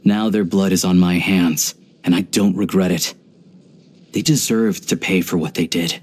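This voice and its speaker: A man voicing a video game character, an earnest, pragmatic young hunter and rifleman who talks in a measured, reflective way. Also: deep voice, fairly even intonation, a little mellow, slightly rough. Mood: grave, resolute.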